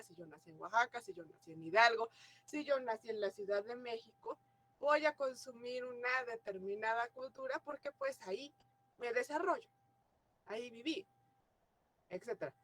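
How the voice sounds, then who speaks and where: A woman's voice: fairly high pitch, creaky, a bit bright. Mexican woman lecturing a class. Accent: Mexican Spanish. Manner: explanatory, asks the class rhetorical questions. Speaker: teacher.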